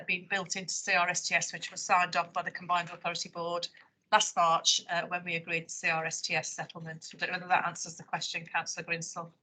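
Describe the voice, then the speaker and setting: A woman's voice: lowish voice, bright, forceful tone, rough recording. A woman transport officer answering councillors at a formal committee meeting, hesitant and full of fillers.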